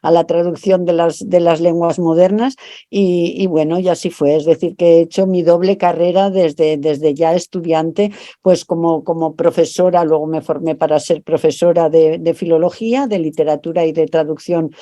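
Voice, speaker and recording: A woman's voice: fairly low pitch, steady tone, moderate pace, mellow, no echo, studio quality. A middle-aged woman from Spain with a Spanish accent, a translator and professor speaking in an interview, thoughtful and often repeating words while she searches for her phrasing. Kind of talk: interview over video call.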